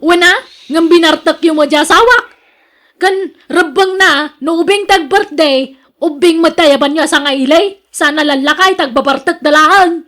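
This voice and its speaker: A woman's voice: high-pitched, a little slow, fairly bright tone. A woman with an Ilocano accent playing a jealous, nagging wife in a radio drama, accusing and sarcastic. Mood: scolding. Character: jealous, nagging, suspicious wife of a working man.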